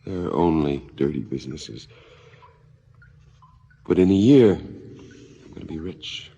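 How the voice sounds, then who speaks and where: An actor playing a secretive man in love with a shady business, gravelly and terse, in a radio drama. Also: very deep voice, animated, slow pace, warm tone, dull audio, full character voice. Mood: urgent, guarded. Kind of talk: 1970s stereo radio drama acting. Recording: noisy radio drama recording.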